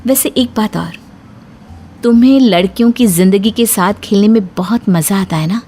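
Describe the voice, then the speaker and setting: A woman's voice: medium pitch, warm tone, a little hiss or hum, natural voice. Bold, taunting young wife, acted by a woman narrator. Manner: pointed, deliberate, confrontational. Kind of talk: dramatized story dialogue. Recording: music bed under the voice.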